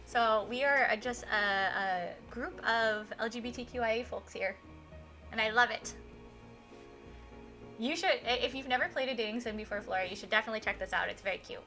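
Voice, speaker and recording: Bright, excitable woman streamer. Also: fairly high pitch, fairly quick. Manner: effusive, excitable. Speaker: live streamer, host. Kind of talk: casual game stream chat. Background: music.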